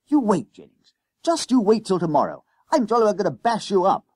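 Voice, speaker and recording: A man's voice: high-pitched, lively intonation, normal speed, dry recording, voice pushed up. A man voicing a bossy, hot-tempered, boastful schoolboy who blusters in boarding-school slang for a storybook. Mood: angry, threatening.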